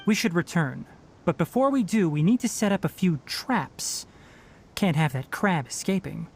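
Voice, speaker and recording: A man voicing a calm, stern young male forest ranger in a game, speaking in a measured, matter-of-fact, slightly dry way. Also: sits high, full character voice. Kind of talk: game dialogue voice acting. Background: soft game music.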